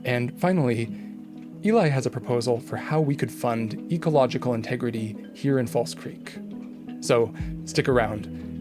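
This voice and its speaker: A man reading a scripted podcast intro in an upbeat announcing manner. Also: medium pitch. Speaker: podcast host. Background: guitar music.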